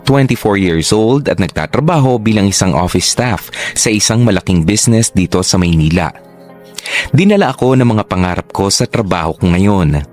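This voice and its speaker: Isang lalaking tagapagsalaysay ng dramang panradyo na taimtim na nagkukuwento ng buhay ng isang dalaga sa unang panauhan. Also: male voice, lowish voice, a little mellow, natural voice. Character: narrator reading a young woman's earnest life story. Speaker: radio drama narrator. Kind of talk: scripted first-person story narration.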